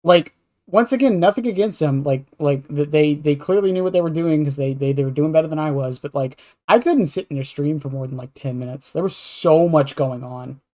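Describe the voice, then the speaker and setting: A man's voice: a little high, fairly quick, dark tone. A man chatting casually on a podcast as a streamer, rambling and self-deprecating, with some swearing.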